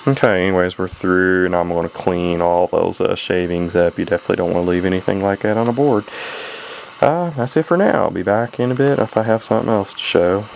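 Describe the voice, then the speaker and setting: A man with a deep voice full of gravelly fry talks through hobby repair work. He rambles in a folksy, off-the-cuff way and corrects himself as he goes. It sounds like a handheld camera home video with faint background noise. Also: brisk. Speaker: hobbyist radio repairer presenting his own video. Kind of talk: casual hands-on repair narration.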